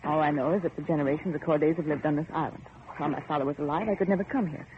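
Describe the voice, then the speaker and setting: An actress playing an anxious, insistent young heiress in vintage radio horror, questioning with pleading, melodramatic urgency. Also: female voice, low voice, racing, lightly acted. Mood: pleading, exasperated. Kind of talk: 1940s radio horror acting. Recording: noisy muffled old radio recording.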